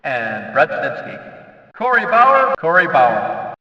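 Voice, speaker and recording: A hyped-up male race announcer for a racing video game, high-pitched and swinging wildly up and down. He stretches out every call theatrically, as if over a big track, with a long echoing reverb around him. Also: wildly swooping pitch, full character voice. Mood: hyped announcing. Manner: drawn-out, theatrical delivery of names. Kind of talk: shouted race-announcer name calls. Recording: echoey, distant, slightly dull PA-like sound.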